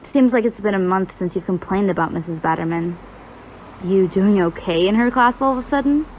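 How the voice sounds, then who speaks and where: A woman's voice: mid-range voice, brisk, a little mellow, a little hiss or hum, natural voice, poor audio. A woman playing a blunt, teasing young college student with a dry, bossy manner in an audio roleplay.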